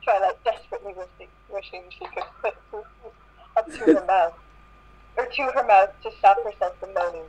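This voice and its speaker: A woman's voice: mid-range voice, brisk, darkish tone. Woman reading aloud in muffled lo-fi audio. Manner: stumbles over words and repeats them. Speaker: reader in a group fan fiction reading. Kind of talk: amateur read-aloud of a story, with asides.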